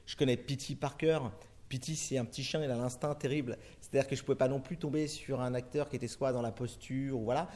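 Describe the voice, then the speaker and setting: Fast-talking, rambling French man. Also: mid-range voice, steady tone, a little mellow. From France. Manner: rambling, many restarts and fillers. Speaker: film director. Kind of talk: interview answers.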